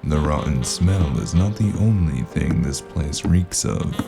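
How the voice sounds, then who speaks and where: A man playing a weary, sardonic noir investigator in an audio drama, gravelly and deadpan. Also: a bass voice, a little slow, over music, heavily acted. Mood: deadpan, irritated. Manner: dry, deadpan. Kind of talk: noir audio drama acting and first-person narration.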